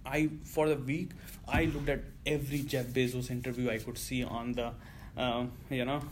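A man's voice: mid-range voice, level tone, normal speed, slight background noise. A man in his thirties from India with an Indian accent, telling his story in a casual interview, cursing often and rambling with fillers. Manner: profane, rambling, lots of you know fillers. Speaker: guest.

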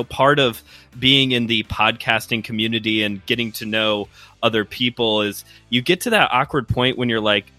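Bright-voiced Chicago man telling a story. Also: mid-range voice, no echo. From Chicago area, US Midwest. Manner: friendly storytelling with fillers. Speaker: guest host, preacher. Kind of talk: casual podcast chat.

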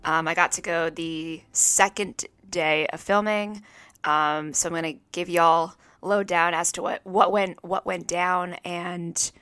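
A woman's voice: fairly low pitch, fairly even intonation, measured pace, pushed and bright, clear, resonant tone, no echo. A woman co-hosting a casual podcast, bubbly and rambling with lots of "like.". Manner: bubbly, rambling, many "like"s and restarts. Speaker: podcast co-host. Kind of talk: casual chat.

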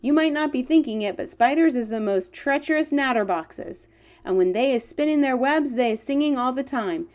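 A woman's voice: high-pitched, expressive, normal speed, darkish tone, voice pushed up. A woman voicing a big-hearted male giant in a children's story, earnest and proud, full of wonder, with ungrammatical talk and quaint invented words. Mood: delighted.

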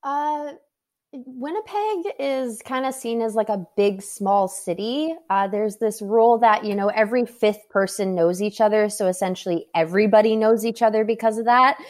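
A woman's voice: on the high side, normal speed, a little mellow. Canadian woman, twenties, interview guest, cheerful, laughs easily. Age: 20s. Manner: upbeat, laughs often. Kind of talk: casual interview chat.

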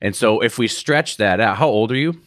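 A man's voice: lowish voice, lively intonation, normal speed, fairly bright tone, no echo, clean studio sound. Reflective man in his thirties interviewing. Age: 30s. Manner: reflective, tags sentences with "right?". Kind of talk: conversational podcast interview.

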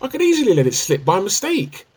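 Man acting husky husband, lively pitch. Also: fast, exaggerated voice. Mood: defensive. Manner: conversational, bantering. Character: easygoing, evasive husband, bad at keeping secrets. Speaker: amateur radio play actor. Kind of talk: domestic radio play dialogue.

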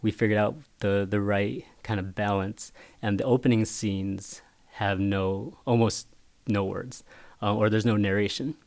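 A man whose first language was Vietnamese, a picture book author talking casually in an interview, thoughtful and halting with many restarts. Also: on the low side, steady tone, a little mellow.

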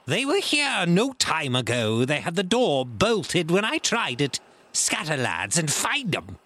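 A man voicing a furious blind beggar pirate chief, shouting imperious orders in an audiobook. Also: fairly high pitch, wildly swooping pitch, measured pace, voice pushed up, exaggerated voice. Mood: furious, eager. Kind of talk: audiobook character acting.

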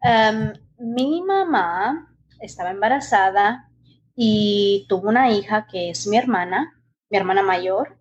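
A woman in her late twenties from Guatemala, speaking as a podcast guest. She talks thoughtfully and pauses with fillers. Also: medium pitch, animated, slight background noise. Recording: dull lo-fi call audio.

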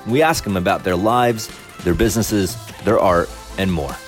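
A man hosts a podcast interview with a deep, low voice. He talks in an upbeat way with filler words. Faint music plays behind him. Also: moderate pace. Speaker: podcast host.

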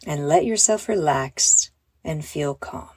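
A woman leading a guided meditation for children in a soft, breathy voice, with a soothing, gentle and encouraging manner. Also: deep voice, lively intonation, slow pace, a little hiss or hum. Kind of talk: guided meditation, scripted narration.

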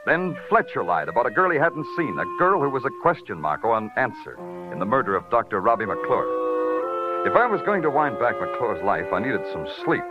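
An actor playing a world-weary police lieutenant with an American accent, in wry, hardboiled 1940s radio noir style. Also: male voice, mid-range voice, very animated, moderate pace, natural voice. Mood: wry, brooding. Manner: wry, dramatic, hardboiled delivery. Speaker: radio detective lead actor. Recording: dull vintage radio recording with prominent music.

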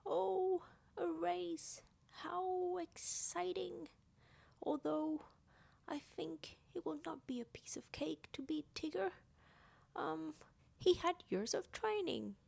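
A woman voicing a timid, excitable little male pig in a children's story, with a nervous, halting way of talking. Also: very high voice, gravelly, pitched above the performer's own voice, exaggerated voice. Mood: excited, unsure.

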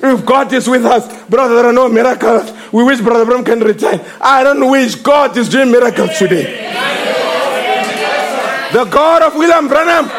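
A man preaching a fiery sermon as pastor, shouting emphatic lines and repeating his slogans. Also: very high voice, normal speed. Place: church service with congregation. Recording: congregation noise behind.

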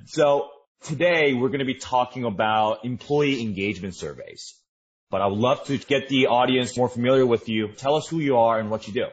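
A man's voice: medium pitch, normal speed, husky. A man hosting a podcast, upbeat and conversational as he introduces his guest and asks questions. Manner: upbeat, conversational, casual fillers. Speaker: podcast host. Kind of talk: podcast interview.

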